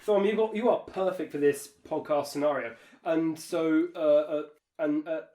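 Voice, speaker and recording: A man's voice: a little high, fairly even intonation, moderate pace, dark tone, reverberant. An English man co-hosting a podcast, tipsy and flippant, joking in casual banter over a shared mic. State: tipsy from drinking. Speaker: podcast co-host. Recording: some noise, shared single mic.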